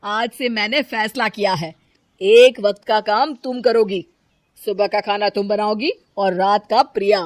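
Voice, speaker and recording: Woman playing a slow-spoken, scolding mother-in-law. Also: medium pitch, fairly even intonation, dry recording, lightly acted. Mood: stern. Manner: scolding, dry, unimpressed.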